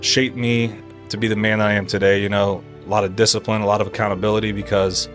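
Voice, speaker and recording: A young man from Erie, Pennsylvania, chatting casually as a podcast guest, animated and full of dude and bro. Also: on the low side, quick pace, soft background music. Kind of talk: casual chat.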